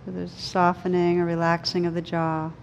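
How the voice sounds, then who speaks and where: A woman leading a guided meditation, speaking gently and soothingly in slow, unhurried phrases with pauses. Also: on the low side, monotone, creaky, slight background noise, rough recording. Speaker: meditation teacher.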